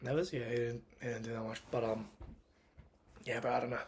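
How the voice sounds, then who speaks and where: A man who has lost his voice, giving a casual post-match reaction rant as a football fan. He is agitated and emphatic, and his voice climbs in pitch and loudness when he gets angry. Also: flat delivery, fairly quick, slight background noise. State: hoarse, lost voice.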